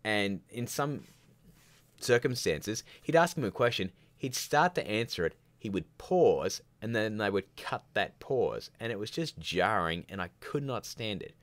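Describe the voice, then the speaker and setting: A man with a deep voice hosts a casual live Q&A. He rambles from one answer to the next, candid and opinionated, slipping in jokey asides. The sound is dry, with very little room around the voice. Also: animated, unhurried, fairly bright tone, no echo. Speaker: livestream host of a filmmaking show.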